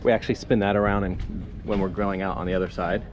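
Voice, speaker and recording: A man's voice: low voice, fairly even intonation, fast talker. Forties male vlogger, upbeat and loose, camera-mic sound with wind noise. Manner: chatty, upbeat, lots of fillers. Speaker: sailing vlogger. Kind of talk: casual vlog walkthrough. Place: outdoors by harbor and on small sailboat. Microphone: action camera at arm's length.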